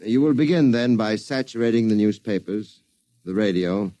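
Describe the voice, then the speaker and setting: A courteous, secretive Martian played by a male actor in a vintage radio play. His voice is low and soft-edged, with lively swings of pitch under very measured, formal wording. The old studio recording is close and dry. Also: no room sound, natural voice. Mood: assured, secretive. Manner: formal, precise, measured diction. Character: polite, secretive Martian posing as a wealthy advertising client. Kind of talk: 1950s radio drama acting.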